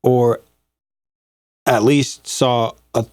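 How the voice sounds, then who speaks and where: Measured male co-host, mid pitch. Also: fairly even intonation, darkish tone, no room sound, clean studio sound. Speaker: co-host and recording engineer. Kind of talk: casual interview.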